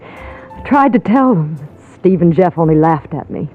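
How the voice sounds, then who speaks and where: A woman's voice: low voice, animated, normal speed. An actress playing an anxious, distressed young-sounding woman in a 1950s radio drama, pleading and emotional. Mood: fearful, shaken. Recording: muffled old radio with music.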